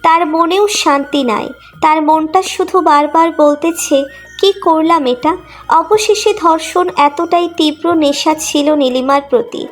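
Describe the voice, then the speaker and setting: High voiced lady narrating an emotional love story, reading from script, soft music behind. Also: unhurried.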